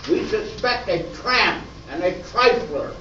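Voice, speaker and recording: A man playing a by-the-book police sergeant in an amateur radio play parody, deliberate and deadpan. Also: high-pitched, full character voice. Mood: businesslike. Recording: distant, echoey, muffled room with noise.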